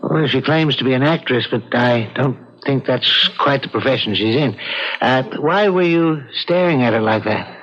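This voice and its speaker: An actor playing an urbane, inquisitive doctor with a measured, questioning manner in an old-time radio drama. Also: male voice, mid-range voice, fairly bright tone, natural voice. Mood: curious. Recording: muffled, noisy old radio broadcast.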